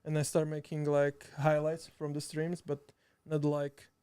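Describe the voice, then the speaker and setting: A Slovak man chatting in halting, hesitant English, full of restarts and laughter, sitting a bit far from the mic. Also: a little high, completely flat delivery, mellow, smooth. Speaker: streamer and video editor guest. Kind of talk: casual chat. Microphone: too far from the mic.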